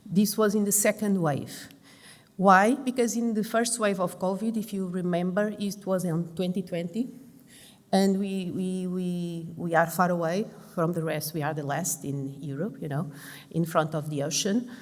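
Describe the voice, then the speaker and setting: A middle-aged Portuguese woman, a lung and intensive care doctor, giving a conference talk in English with a Portuguese accent, halting and restarting her words often. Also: on the low side, steady tone. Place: large conference hall. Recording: long hall reverb.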